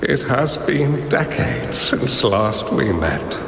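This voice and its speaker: An actor playing a courteous, humble old board-game master in a video game, speaking formally and graciously. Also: male voice, mid-range voice, sing-song, takes it slowly, a bit bright, exaggerated voice. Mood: warm, reminiscing. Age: older. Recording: echoey long reverb with music behind.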